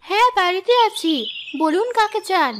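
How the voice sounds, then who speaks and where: A woman's voice: very high voice, moderate pace, a bit bright, slight background noise. A woman voicing a humble, anxious young village cook in a fairy-tale cartoon, earnest and polite.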